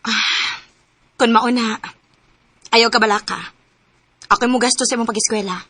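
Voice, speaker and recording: An actress with a Cebuano accent narrating and playing a kind young woman in a radio drama, soft-spoken. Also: fairly high pitch, fairly even intonation, moderate pace. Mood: tender. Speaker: narrator and heroine.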